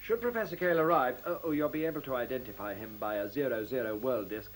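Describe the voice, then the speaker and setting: A man's voice: medium pitch, fast, natural voice, low-quality recording. An actor in a radio play voicing a fussy, eager male computer engineer who explains things politely and a little hesitantly. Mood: polite, flustered. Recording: faint hiss on old radio recording.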